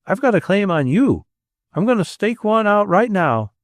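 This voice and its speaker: A man's voice: sits high, sing-song, normal speed, no room sound, clean studio sound, pitched above the performer's own voice. Man doing a breezy young New Yorker. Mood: breezy, coaxing. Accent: New York. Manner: breezy, plain-spoken, coaxing. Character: brash, kindly young New York man.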